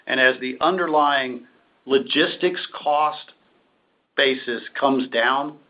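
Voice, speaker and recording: Male executive on a dull, noisy call. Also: mid-range voice. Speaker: company executive on an earnings call. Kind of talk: answering analysts' questions on a conference call. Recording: muffled, noisy conference-call line with clicks.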